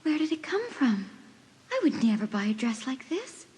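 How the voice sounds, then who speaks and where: A woman's voice: high-pitched, very animated, normal speed, a little mellow, breathy edge, full character voice. A woman playing an anxious teenage girl. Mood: puzzled. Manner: urgent, questioning. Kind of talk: audio drama acting.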